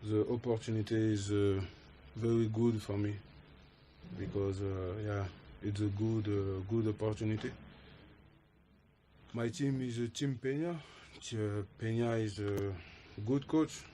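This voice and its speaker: Halting young man, slow and flat. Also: on the low side, slight background noise. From France. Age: 20s. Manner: hesitant, many pauses and fillers, simple phrasing. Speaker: MMA fighter guest. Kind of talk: interview answers in halting English.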